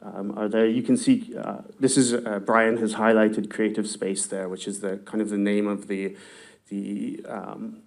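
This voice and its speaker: A man's voice: on the low side, little pitch movement, unhurried, vocal fry. A male university researcher giving a conference presentation, talking hesitantly with lots of ums, restarts and trailing phrases. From not from Galway.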